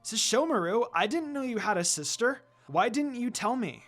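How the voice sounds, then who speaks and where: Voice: medium pitch, lively intonation, normal speed, a bit bright, faint music behind, pitched above the performer's own voice, lightly acted. A narrator voicing a caring, earnest young human priestess who asks questions openly. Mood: surprised.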